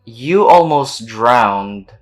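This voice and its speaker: A woman's voice: a bass voice, expressive, extremely slow, soft background music. A woman giving casual gameplay reaction commentary, blunt and slangy, as a gaming video creator.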